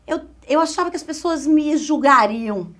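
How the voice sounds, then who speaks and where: Lively Pernambuco woman telling her story. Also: high-pitched, moderate pace. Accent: Pernambuco Brazilian Portuguese. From Caruaru, Pernambuco, Brazil. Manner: expressive, emphatic, lively storytelling with repeated phrases. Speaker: guest, communication specialist. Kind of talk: casual interview storytelling.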